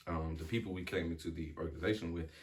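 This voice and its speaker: A young man with a low, deep voice talks through his thoughts slowly in a casual chat. He fills his sentences with ums and restarts, and now and then catches on a stutter. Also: fairly even intonation. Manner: reflective, many fillers and restarts, occasional stutter. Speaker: podcast guest.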